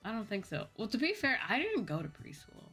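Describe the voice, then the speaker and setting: A woman's voice: fairly high pitch, wildly swooping pitch, a little fast, fairly bright tone, slightly rough. A young American woman livestreamer chatting casually with viewers in a rambling, informal way, often correcting herself. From United States. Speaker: livestreamer, virtual YouTuber. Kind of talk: casual chat with live viewers. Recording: music playing behind the voice. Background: background music.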